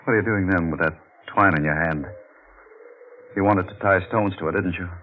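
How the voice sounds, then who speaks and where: A tinny, slightly noisy vintage radio recording carries an actor as a guilt-ridden young lover. His voice is low, grave and bitter, with terse lines in 1940s radio-drama style. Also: fairly quick, close to an everyday voice. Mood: grim accusing. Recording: tinny band-limited 1940s radio, faint noise.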